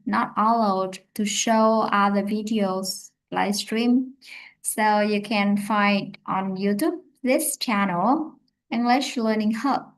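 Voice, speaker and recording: A woman teaching an English lesson on a live stream, speaking slowly and hesitantly with many fillers. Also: medium pitch, rough recording. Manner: slow, hesitant, many fillers and self-corrections.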